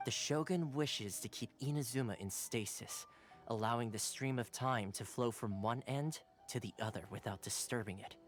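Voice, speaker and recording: A friendly young male retainer from an anime game played by an actor, composed and earnest as he explains. His pitch is middling, and music plays faintly behind him. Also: moderate pace, natural voice. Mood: solemn, explaining. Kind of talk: anime video game voice acting.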